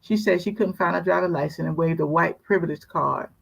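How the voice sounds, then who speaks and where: A woman's voice: on the low side, a little fast, vocal fry, husky, low-quality recording. A woman co-hosting a casual talk show who reads news stories aloud, stumbles over words and adds wry asides.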